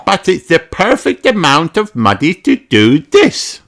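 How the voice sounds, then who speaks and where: An eager young piglet girl from a children's storybook, acted out by a man. The voice is pitched up high and swings widely, cheerful and impatient. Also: huge pitch swings, measured pace, vocal fry, fairly bright tone, full character voice. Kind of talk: children's storybook read-aloud.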